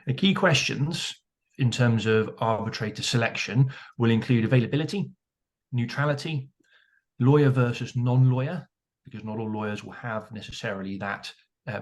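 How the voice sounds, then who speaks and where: A man's voice: medium pitch, normal speed, a little mellow. A man on a webinar panel who sets out points in an orderly, methodical way. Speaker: arbitration lawyer panelist.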